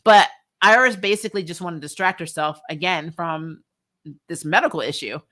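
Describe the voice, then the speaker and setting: A woman's voice: fairly low pitch, moderate pace, fairly bright tone. A woman hosting a recap show, reviewing in a casual, chatty, slangy way and animatedly retelling things. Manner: chatty, slangy, punctuated with laughter.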